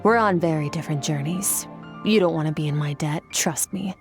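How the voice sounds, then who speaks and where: A cool, detached woman mentor, voiced by an actress with a lowish voice, keeping every word curt and businesslike. She explains things calmly and without warmth, over faint game music. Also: fairly quick, lightly acted. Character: aloof, cool-headed woman mentor from the Abyss. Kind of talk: video game voice acting.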